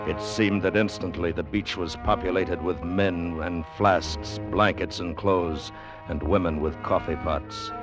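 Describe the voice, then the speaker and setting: Grave radio storyteller, deep voice, solemn, measured, dramatic, 1950s drama, music behind, dull vintage sound. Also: male voice, fairly even intonation. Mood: somber. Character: grave male storyteller of adventure and doom. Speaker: narrator.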